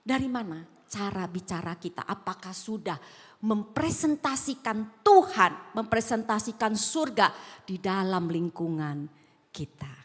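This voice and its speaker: A woman's voice: medium pitch, lively intonation, a little slow. Woman pastor, slight Javanese accent, echoing hall. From Sumatra, Indonesia. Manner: conversational, asks the congregation questions. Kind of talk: sermon. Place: large auditorium.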